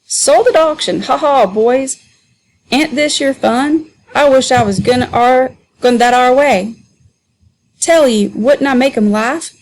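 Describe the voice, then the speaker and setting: A woman putting on a loud mocking joker man. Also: high voice, a little slow, pitched above the performer's own voice, poor audio. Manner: mocking, drawling, broad dialect. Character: boisterous teasing grown man, a loud joker.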